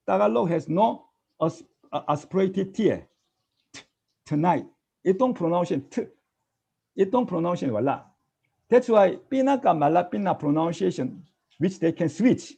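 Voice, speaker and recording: A middle-aged Korean-accented man lecturing a class with a lively, swooping delivery. His voice is rough with fry and a husky, dark tone. He keeps checking in with tag questions. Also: high-pitched, a little slow, pauses often. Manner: animated, tag questions, mimics students' replies. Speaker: language teacher. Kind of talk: classroom lecture mixing English and Tagalog.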